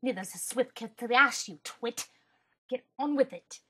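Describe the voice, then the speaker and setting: A woman's voice: fairly high pitch, normal speed, sharp tone, husky. A woman voicing a stern, haughty, withering commander in a parody cartoon. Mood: scornful. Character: stern, scornful aristocratic female commander. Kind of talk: fan-dub parody cartoon acting.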